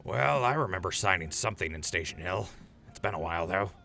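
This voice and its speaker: An actor plays a wary male veteran of the wasteland. His voice is deep and gravelly with a hard, forceful edge, dry and laconic in audio drama style. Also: animated, brisk, faint music behind, full character voice. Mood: reflective, willing.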